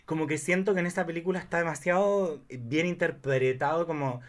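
A man, chatting casually in an expressive, campy way and telling digressive stories about films. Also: on the high side, fairly quick. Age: 30s. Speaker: podcast guest or co-host discussing films. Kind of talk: casual conversational chat.

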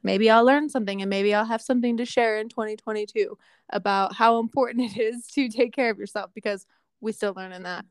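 A woman's voice: a little high, steady tone, brisk, smooth, dry recording, clean studio sound. A woman in her late thirties chatting openly and with self-deprecating humor, with lots of fillers. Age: 30s. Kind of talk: casual chat.

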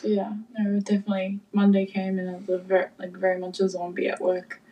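A woman co-hosting a casual podcast chat, easygoing and laughing often, with a croaky sore throat. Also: mid-range voice, monotone, a little fast, mellow, distant mic, poor audio. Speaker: podcast co-host.

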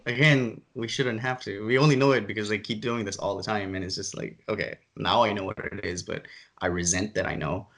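A man's voice: on the low side, steady tone, brisk, a bit bright. A man hosting a casual podcast, explaining things in a rambling, exasperated way. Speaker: podcast host.

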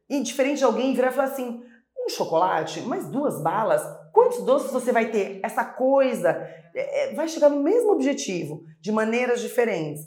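A middle-aged woman, a mother being interviewed, talks warmly and animatedly, acting out quoted voices as she tells her story. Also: on the high side. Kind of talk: conversational interview. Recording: echoey room.

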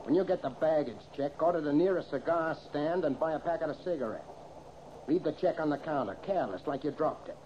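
A threatening gunman played by a man out of a 1940s radio crime show. He gives curt, slangy orders like a hard-boiled crook. The sound is dull, with clear old-recording noise. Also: fairly high pitch, animated, brisk, close to an everyday voice. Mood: menacing, commanding. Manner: curt, threatening, slangy tough-guy talk. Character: menacing, gruff bank robber with a gun.

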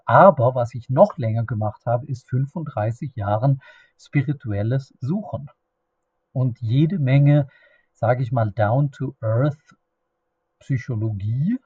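A middle-aged man with a Swedish accent talks slowly and haltingly, restarting sentences as he searches for words. His voice is mellow and a little husky. The recording is dry and somewhat dull, with no room sound. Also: medium pitch, no room sound. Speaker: podcast host. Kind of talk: unscripted solo podcast monologue.

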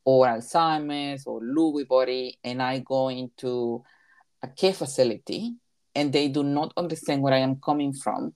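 A woman with a Mexican accent and a strikingly low, deep voice. She grew up speaking Spanish, and she answers interview questions as a therapy professional. She is emphatic and circles back to repeat her key points. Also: moderate pace, a little mellow. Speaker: recreation therapy leader.

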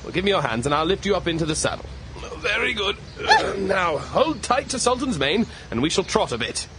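High-pitched, animated English lord. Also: male voice, moderate pace, loud music behind the voice. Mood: genial. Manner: courtly, formal, flustered stammer. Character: charming, vain young English earl, easily flustered. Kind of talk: radio drama acting.

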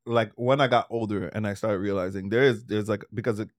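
A man's voice: lowish voice, fairly even intonation, fairly quick, no echo, clean studio sound. Rambling man in his forties. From Trinidad. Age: 40s. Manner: loose, rambling, swears and laughs often. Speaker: podcast host. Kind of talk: casual chat.

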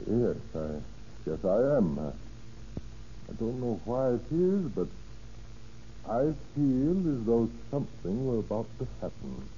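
An actor playing a polite, fidgety landlord with a hesitant, apologetic manner in an old-time radio drama. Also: male voice, mid-range voice, sing-song, a little slow, pauses often, warm tone. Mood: nervous, apologetic. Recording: dull, noisy old radio recording.

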